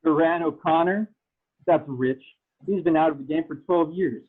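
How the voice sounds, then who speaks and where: Through thin, phone-like call audio comes a scornful racing gang boss, played by a man. His voice is a bit husky and taunting, talking down to everyone as part of a fan-fiction table read. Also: on the high side, normal speed. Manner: condescending, taunting. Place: remote video call.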